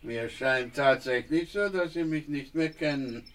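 A man's voice: on the high side, unhurried, fairly bright tone, slight background noise. An actor playing a shabby older man in a radio drama, slyly familiar and falsely polite, with a drawling delivery. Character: small shabby old male acquaintance, slyly familiar, menacing. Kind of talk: German radio drama acting.

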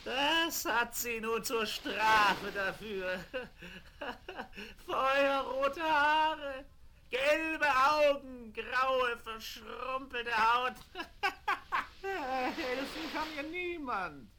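Evil fairy-tale sorcerer: high-pitched, piercing, vain and exclamatory, in a vintage children's audio play. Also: male voice, very slow, bright, forceful tone, exaggerated voice. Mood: gloating. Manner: theatrical, gloating and exclamatory. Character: vain, cackling evil male sorcerer losing his power. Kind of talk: 1970s German children's audio play acting. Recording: vinyl record rip with background noise.

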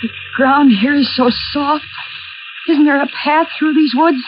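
A woman's voice: high-pitched, steady tone, a little slow. An actress playing an anxious, suspicious young woman stranded at night in a 1940s radio thriller. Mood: frightened. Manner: anxious, urgent. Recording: noisy, dull 1940s radio recording.

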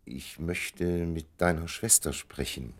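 A man playing a shrewd older gentleman in a German radio play, speaking in a dry, measured, gently ironic way. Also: rumbling low, slightly rough, heavily acted. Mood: dry, reserved.

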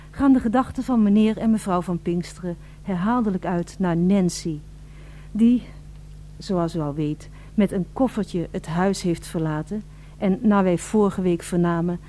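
A woman playing the narrator of a radio play, addressing listeners formally and deliberately, with wry mock solemnity. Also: medium pitch, mellow, a little hiss or hum, lightly acted.

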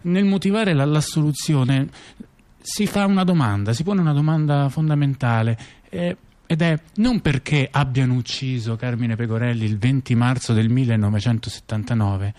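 A man performing conversational satirical storytelling, halting and digressive, repeating phrases and checking in with his listener. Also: mid-range voice, a little mellow. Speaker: storyteller-performer in a two-person stage dialogue.

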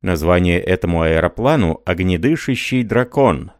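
A man's voice: low voice, expressive, moderate pace, dry recording, studio quality. A man reading an audiobook, voicing a scheming middle-aged male spy in a suave, persuasive manner.

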